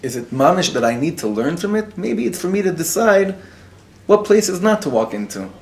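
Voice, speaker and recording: A man's voice: high voice, big pitch swings, normal speed, vocal fry. A man, a rabbi, teaching a live class to an audience in the room, mixing Hebrew and Yiddish words into his talk and putting questions to his listeners. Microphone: recorded for web students.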